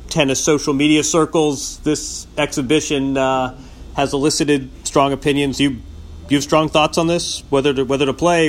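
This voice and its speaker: A man interviewing a guest, asking long questions with many halting restarts. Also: a little high. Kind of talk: podcast interview.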